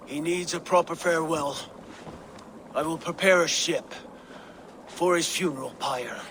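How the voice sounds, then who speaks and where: A man playing a grieving, proud Viking warlord in a video game, with a gravelly voice and weighty, halting delivery. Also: a little high, a bit bright, slight background noise. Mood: grieving, resolved. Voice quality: gravelly, creaky. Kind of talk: dramatic video game acting.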